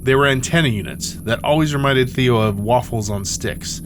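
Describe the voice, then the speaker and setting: A man's voice: medium pitch, moderate pace, noisy background. A man reading dramatic scripted narration as the narrator of an audio drama. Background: music.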